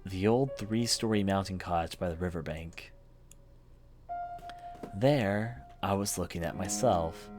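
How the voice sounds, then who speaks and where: A man's voice: low-pitched, a little mellow. A man reading a visual novel aloud as a let's play host, narrating with his own off-the-cuff commentary, game music behind him.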